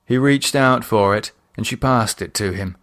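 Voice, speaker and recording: A man reading a horror novel as a dramatic audiobook, measured and expressive in his delivery. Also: fairly low pitch. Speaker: author and narrator reading own novel.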